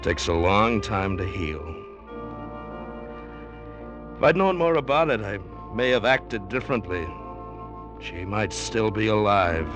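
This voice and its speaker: An American actor playing a hard-boiled, world-weary man in a vintage radio drama, terse and grave. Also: low voice. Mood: somber. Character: hard-boiled, world-weary lovesick male protagonist telling his story. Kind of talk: 1940s radio drama acting and first-person narration. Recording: old-time radio recording.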